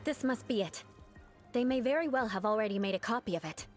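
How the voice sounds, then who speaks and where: A woman voicing a proud, vengeful young woman knight in a game, cold and haughty, with sharp battle cries. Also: fairly high pitch, lively intonation, fast, natural voice. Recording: game audio with music underneath.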